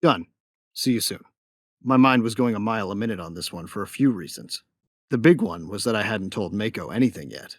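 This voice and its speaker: A man's voice: on the low side, animated, brisk, no room sound, studio quality. A man playing a young bounty hunter in a sci-fi audio drama, telling his story in a wry, laid-back, cocky way. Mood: easygoing in-character. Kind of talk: first-person audio drama narration.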